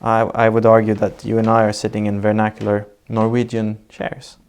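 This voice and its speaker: A Swedish-accented man with a low, gravelly voice talks through his ideas in an interview. He is measured and reflective, building up definitions one point at a time as he answers. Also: completely flat delivery, a little mellow. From Sweden. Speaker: architect guest. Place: sitting indoors in Norway.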